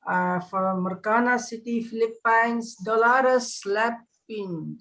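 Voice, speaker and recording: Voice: medium pitch, takes it slowly, a bit bright. An effusive host, introducing performers at a live poetry event and repeating names and praise.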